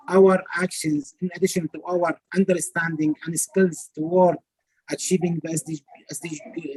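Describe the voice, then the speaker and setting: A moderator chairing an online meeting over a video call. The voice is husky and mid-pitched, with a hesitant, courteous delivery. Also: darkish tone, poor audio.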